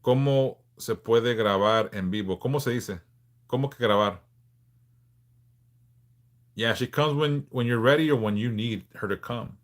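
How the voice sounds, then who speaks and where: A man in his forties from Southern California, hosting a casual livestream and answering viewers in a frank, laid-back way with halting restarts. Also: medium pitch. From Los Angeles, California. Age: 40s. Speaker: livestream host.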